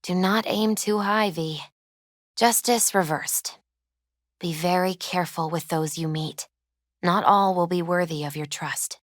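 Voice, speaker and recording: A wistful young woman, acted by a woman, reads tarot as a video game character. Her voice sits a little low and is gentle and measured, with an earnest, spiritual air tinged with grief. The sound is very dry and intimate. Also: fairly even intonation, no echo, close to an everyday voice. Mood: solemn, mystical.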